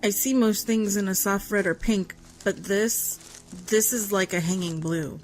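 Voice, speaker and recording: A woman's voice: mid-range voice, fairly even intonation, unhurried, close to an everyday voice, poor audio. A woman playing a plain-spoken blind tracker in a fantasy audio drama, explaining things matter-of-factly.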